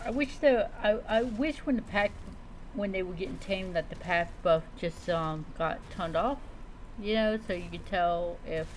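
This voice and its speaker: Against clearly noisy background ambience, a game streamer with a mid-range voice talks casually through the play. The commentary rambles along as if talking to oneself. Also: medium pitch, a little slow.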